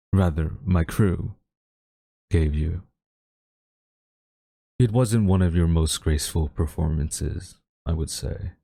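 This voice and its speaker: A man playing a haughty, wistful government agent in a dramatic audio roleplay, speaking in a smug, deliberate, theatrical way to a captured former friend. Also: low-pitched, vocal fry, warm tone, dry recording, full character voice.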